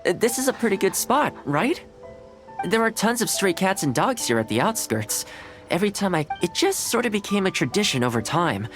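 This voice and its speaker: A man's voice: high voice, big pitch swings, moderate pace, fairly bright tone, soft background music. An actor playing a friendly, easygoing young male housekeeper in an English-dubbed anime game, speaking in a casual, considerate way. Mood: gentle, encouraging.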